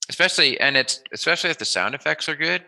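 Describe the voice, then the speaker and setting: A man's voice: on the high side, lively intonation, quick pace, gravelly, bright, forceful tone. A man hosting a casual interview, chatting in an informal, enthusiastic and rambling way. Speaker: interview host.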